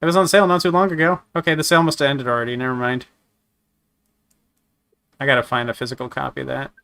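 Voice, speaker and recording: A man with a slightly raspy voice from a sore throat, chatting casually while reviewing games, rambling with many restarts and fillers. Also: mid-range voice, flat delivery, quick pace, bright, forceful tone. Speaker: gaming streamer. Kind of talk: casual game review chat.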